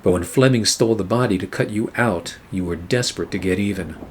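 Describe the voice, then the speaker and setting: A man playing a weary, wry private eye, narrating a noir radio play in a sardonic, measured way. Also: on the low side, slight background noise, natural voice. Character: weary, wry hard-boiled male private eye narrating his case.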